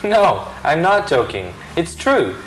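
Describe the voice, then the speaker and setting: A man's voice: a little high, very animated, slow pace, rough recording. Guy answering questions in a practice dialogue, matter-of-fact, bit of a joker, faint hiss.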